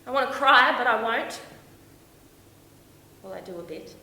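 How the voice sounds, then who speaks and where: A woman performing a stage monologue as a young, romantic, homesick backpacker, confiding and expressive with dramatic pauses. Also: medium pitch. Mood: homesick, fragile. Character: young Australian woman backpacker, dreamy, lovestruck, heartbroken, homesick. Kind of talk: theatrical stage monologue, storytelling to an audience. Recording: echoey room with faint noise.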